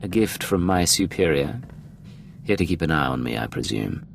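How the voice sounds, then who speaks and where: A man's voice: sits low, expressive, normal speed, vocal fry, a little hiss or hum. A man playing a cool, terse Imperial secret agent in a video game, curt and controlled with a wry edge. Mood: cool, commanding. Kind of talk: scripted video game acting.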